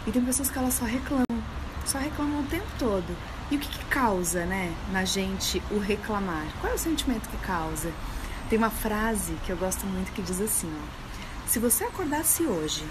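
Earnest woman on a phone selfie video. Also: mid-range voice. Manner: warm, earnest, questioning, gestures while talking. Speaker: healthy-living coach. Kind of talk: motivational morning talk to followers. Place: indoors, room with window. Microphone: phone held at arm's length. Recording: phone video with clear background noise.

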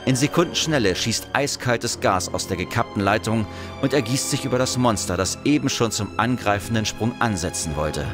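A man's voice: lowish voice, expressive, moderate pace, over music. A man narrating a sci-fi horror audio drama in a dramatic, scripted style.

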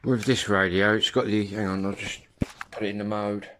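A man in his fifties with an English accent, chatting casually and haltingly in an informal, rambling vlog. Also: on the low side, little pitch movement, heavy background noise. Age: 50s. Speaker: CB radio hobbyist vlogger. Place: room at home. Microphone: phone at arm's length.

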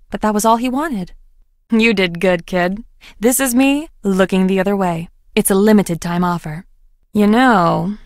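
A woman's voice: medium pitch, no room sound, clean studio sound, close to an everyday voice. A woman acting a young female research scientist in a video game, conversational, wry and guarded.

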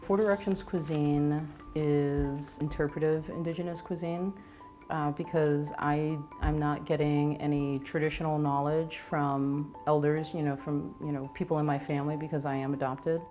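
This is a woman, talking about her own life in a documentary interview recorded at home. She speaks earnestly and reflectively and sometimes stops to restart a thought. Soft music plays quietly underneath. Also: low voice. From Venezuela. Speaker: chef. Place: home kitchen and living room, indoors.

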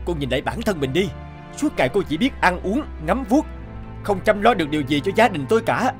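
An arrogant young cartoon husband with money. His scornful, emphatic delivery swings widely in pitch over faint music. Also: very animated, a little fast.

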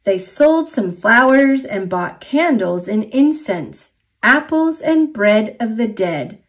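Slow, expressive American woman reading a storybook. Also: a little high. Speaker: children's storybook reader. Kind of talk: expressive read-aloud storybook narration.

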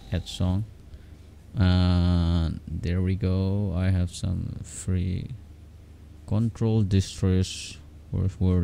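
A man with a very low voice walks through a computer program in a casual, halting way. He repeats himself and keeps checking in. His tone is fairly bright, over faint background noise. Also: creaky. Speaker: software tutorial host. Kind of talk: casual screen-capture tutorial.

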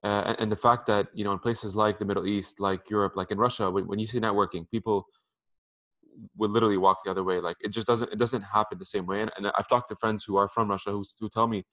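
A man's voice: fairly low pitch, steady tone, fast, a bit bright. A man in his thirties giving casual advice over a video call through a headset, talking conversationally and often repeating words as he goes. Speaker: interview guest. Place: apartment room by a window. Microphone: headphones on a video call.

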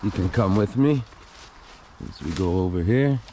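Male vlogger, low-pitched and unhurried, casual walk-through narration on camera mic with some background noise. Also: lively intonation, mellow. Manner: casual, talking to viewers while walking around. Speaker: host of an abandoned-places exploration channel. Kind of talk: casual exploring vlog narration. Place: on the move through a derelict property, RV, overgrown yard and house.

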